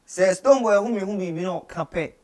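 Male guest, twenties, West African accent, slightly high pitch, clipped casual phrases mixing languages. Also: quick pace, a little mellow. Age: 20s. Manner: short phrases with repeated "you know", mixing English and local language. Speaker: musician, interview guest. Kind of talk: casual interview chat. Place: small radio studio office, indoors.

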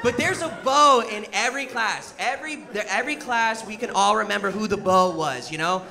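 An American man in his thirties doing stand-up comedy, telling stories in a casual, animated way and acting out bits of dialogue. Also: sits high, brisk, creaky. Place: indoor comedy show on stage before an audience. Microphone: handheld microphone. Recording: live stage mic, loud audience noise. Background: audience laughter and noise.

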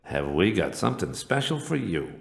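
An actor playing a cocky, sarcastic villain god in a video game, with slick, slangy patter. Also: male voice, low voice, very animated, vocal fry, echoey room, exaggerated voice. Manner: fast-talking, slangy, slick. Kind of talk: animated video game acting.

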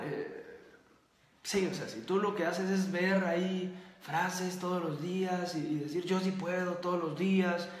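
A man's voice: on the high side, a bit bright, echoey room. A man hosting a live motivational talk, emphatic and repetitive, ticking off long lists and addressing the audience directly. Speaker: host.

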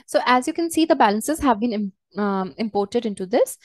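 A woman walking through a software tutorial step by step as a trainer, in a hesitant, halting way. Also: on the high side, expressive, no echo, clean studio sound. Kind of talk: screen-share software tutorial, unscripted walkthrough.

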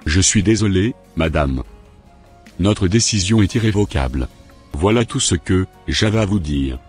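Directeur d'école formel, voix très grave jouée par un homme. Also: male voice, low voice, expressive, darkish tone, husky, soft background music, exaggerated voice. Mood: firm. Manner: formal, measured, halting phrase by phrase. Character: formal, unbending male school director. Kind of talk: scripted cartoon dialogue.

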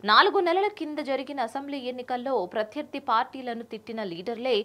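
High-voiced young woman newsreader. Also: quick pace, fairly bright tone. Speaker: news presenter. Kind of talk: scripted news narration.

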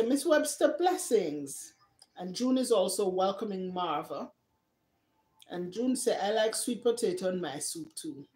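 A woman's voice: medium pitch, mellow. A middle-aged woman with a Jamaican accent casually hosting a live stream and reading out viewers' comments. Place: living room, indoors. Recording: roomy echo of a living room.

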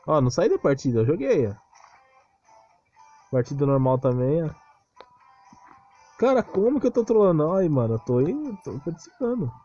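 A man's voice: a little high, sing-song, moderate pace, long pauses, dark tone, breathy edge, muffled recording, faint music behind. A Brazilian man ranting casually and unscripted as a gaming video creator, defensive and exasperated, on a close, cheap-sounding mic. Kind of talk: casual unscripted rant over game footage.

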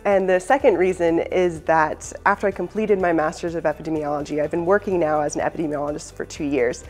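A woman's voice: sits low, steady tone, brisk, darkish tone, faint music behind. A young woman from Alabama, a doctoral student, explaining her choice earnestly in an empty lecture hall. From USA, Alabama. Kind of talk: promotional interview. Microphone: lapel mic.

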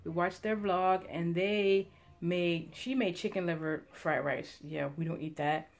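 A woman's voice: on the low side, unhurried. Playful woman vlogging at home. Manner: chatty, playful, teasing. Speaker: family vlogger. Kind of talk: casual home vlog chat. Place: home staircase, indoors. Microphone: phone camera at arm's length.

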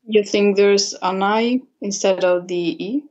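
A man's voice: high-pitched, steady tone, measured pace, lo-fi sound. A man working as a language tutor, answering politely and hesitantly with many fillers. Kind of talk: casual video-call chat.

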